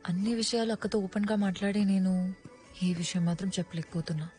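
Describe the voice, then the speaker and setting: An actress playing a timid but sharp young working woman in naturalistic film dialogue. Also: mid-range voice, quick pace, faint music behind, natural voice. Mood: earnest. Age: young adult.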